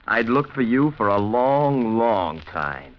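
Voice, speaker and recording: An American actor playing a calm, sardonic avenger with mocking politeness in a 1940s radio thriller. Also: male voice, medium pitch. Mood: grimly confident. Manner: mocking mock-politeness, deliberate. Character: calm sardonic avenger holding killers at gunpoint. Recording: muffled, noisy 1940s radio recording.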